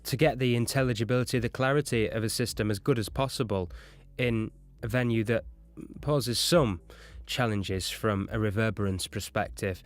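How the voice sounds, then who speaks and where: A man's voice: fairly low pitch, steady tone, normal speed. A man narrating an explanatory lesson as an audio engineering instructor.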